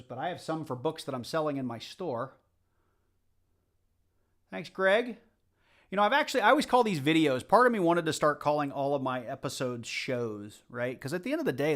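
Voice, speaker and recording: A man's voice: fairly high pitch, fairly bright tone. A man with a light Southern US accent, casually chatting to his livestream viewers while hunting coins. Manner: chatty, rambling, talks to the chat. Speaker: livestream host.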